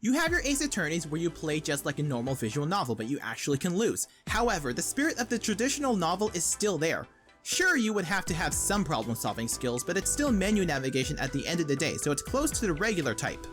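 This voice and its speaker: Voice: medium pitch, very animated, fast talker, fairly bright tone. A video essay host reading scripted comedic narration in a wry, irreverent manner. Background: background music.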